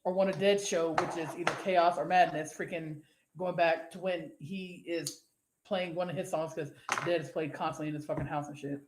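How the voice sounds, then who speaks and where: A woman's voice: deep voice, fairly even intonation, normal speed, noisy background. Rambling, cussing woman host in an echoey room. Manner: rambling, profane, laughs easily. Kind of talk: casual live-show chat, reading viewer comments.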